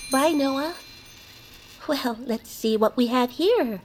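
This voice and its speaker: Polite shopkeeper creature: high voice, very slow and deliberate, cartoon audio drama with faint background noise. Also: female voice. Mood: helpful. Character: helpful, unhurried shopkeeper creature, easily puzzled by counting. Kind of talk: audio fiction cartoon acting.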